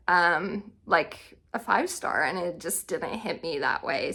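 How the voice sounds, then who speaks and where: Bright-voiced woman chatting casually about her picks. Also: mid-range voice. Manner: informal, thinks aloud. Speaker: book vlogger. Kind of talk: casual, chatty book tag video.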